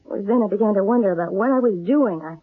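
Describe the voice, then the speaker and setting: Woman playing husky middle-aged fortune teller con lady, commanding, thin phone-like old radio drama sound. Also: medium pitch, rapid-fire, lightly acted. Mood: uneasy, earnest. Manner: portentous, commanding delivery. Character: shrewd aging female fortune-teller con artist, solemn and commanding. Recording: thin, narrow-band old radio recording.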